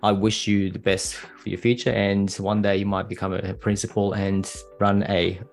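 Polite deep-voiced male interviewer. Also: little pitch movement, moderate pace, vocal fry, soft background music. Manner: polite, brief. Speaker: interviewer, podcast host. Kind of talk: interview questions.

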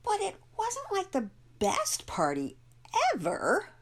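Woman acting as edgy young girl, raised pitch, whiny, defensive, lively intonation, storybook character. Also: moderate pace, noisy background. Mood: snotty, defensive.

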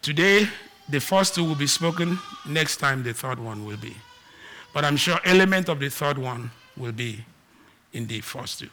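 A man from Nigeria with a Nigerian accent, preaching a sermon and moving between loud emphatic declarations and quiet asides. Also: fairly high pitch, lively intonation, moderate pace.

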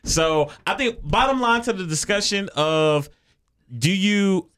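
Animated high-voiced young man telling stories. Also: measured pace, smooth. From Chicago area, United States. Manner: animated storyteller, laughs often, many fillers. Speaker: podcast co-host. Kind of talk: casual podcast chat.

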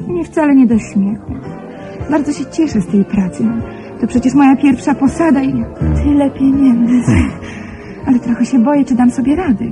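A woman's voice: sits high, moderate pace, over music, close to an everyday voice, low-quality recording. An actress playing a naive, eager, earnest and polite young woman in a radio play. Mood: happy, slightly anxious. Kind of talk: Polish radio play acting.

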